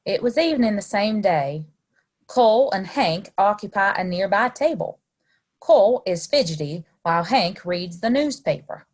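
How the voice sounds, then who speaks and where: Casting: female narrator, medium-pitched, reading scene headings and stage directions for audio drama. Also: animated, moderate pace.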